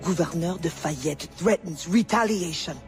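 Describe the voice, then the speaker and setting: A woman's voice: lowish voice, measured pace, a little mellow, close to an everyday voice. A woman playing a worried adviser to a sea captain in a video game, pleading in a grave, cautioning way. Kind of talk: dramatic video game acting. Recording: clear music behind the voice. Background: music.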